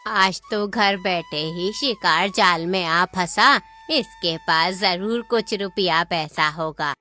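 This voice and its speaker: Slow, bright-voiced sly old woman, acted by a woman. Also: lowish voice, soft background music. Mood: sly, scheming. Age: older. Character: cunning old village woman, mother of two swindlers. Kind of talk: Hindi folk tale voice acting.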